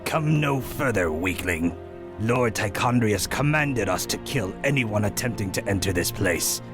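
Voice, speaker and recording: Low nasty evil elf guard, threatening, scornful, game cutscene with music. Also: male voice, lively intonation, measured pace. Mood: menacing. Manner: contemptuous, threatening. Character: menacing corrupted male night elf guard. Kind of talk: villainous fantasy game acting. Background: loud music.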